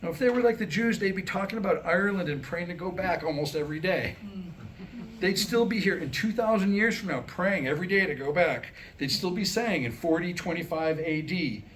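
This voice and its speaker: Wry man, lively higher-pitched voice. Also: fairly quick, poor audio. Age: 60s. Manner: wry joke-telling, acting out quoted dialogue. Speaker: comedic writer-performer at a staged reading. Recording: live room recording with background noise.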